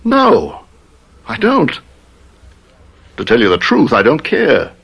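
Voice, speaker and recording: An actor playing a scheming, evasive gentleman who hides a cold murderer, in an old radio mystery style. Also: mid-range voice, huge pitch swings, slow, gravelly, husky, exaggerated voice. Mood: feigned shock. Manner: blustering, evasive, swinging from indignant to coldly menacing.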